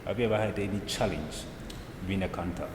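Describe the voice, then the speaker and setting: Deep-voiced male interviewer in echoey hall. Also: fairly quick, very noisy. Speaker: interview host. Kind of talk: conversational interview. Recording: echoey hall, long reverb.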